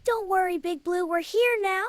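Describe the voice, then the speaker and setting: Woman as a very high, upbeat young cartoon rescue leader. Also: normal speed, heavy background noise. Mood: reassuring. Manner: upbeat, encouraging. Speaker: cartoon character voice. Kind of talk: children's animated adventure dialogue. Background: loud music.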